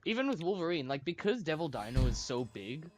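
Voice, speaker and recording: Animated man chatting over game music. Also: on the high side, fairly quick. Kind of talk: casual gaming chat.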